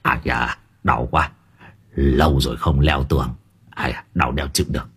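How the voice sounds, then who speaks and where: A crotchety old man with a husky voice performed by a male narrator. He is blunt and foul-mouthed, grumbling and scolding with big swings of pitch in storybook drama style. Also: sing-song, moderate pace. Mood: pained groaning.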